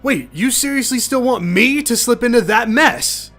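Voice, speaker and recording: A man's voice: very high voice, animated, normal speed, fairly bright tone, faint music behind, heavily acted. A man voicing a small, sly, grumbly alien factory worker in an audio drama. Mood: alarmed.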